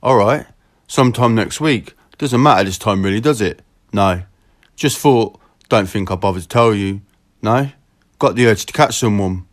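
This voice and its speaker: A man's voice: lowish voice, moderate pace. A man with a southeast England accent chatting casually in slangy, sweary language on a recorded telephone call. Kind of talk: casual phone chat.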